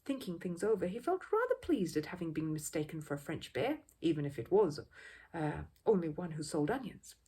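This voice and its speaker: Woman narrating a kids book, really husky breathy voice, lots of up and down in pitch. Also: very animated, brisk, dark tone. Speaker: audiobook reader. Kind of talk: expressive children's story narration.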